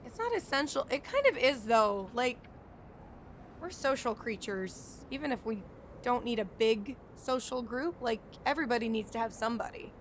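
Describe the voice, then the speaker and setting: A woman's voice: a little high, animated, moderate pace, a little hiss or hum. A woman doing casual live playthrough commentary as a game streamer, playfully reacting aloud. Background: game music.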